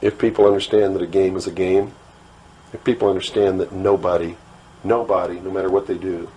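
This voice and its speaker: An American man lectures with conviction in a low voice. He leans on rhetorical questions and repeats words for emphasis. There is faint noise on the recording. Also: flat delivery, a little fast, dark tone. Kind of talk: impassioned lecture. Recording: noisy old talk recording.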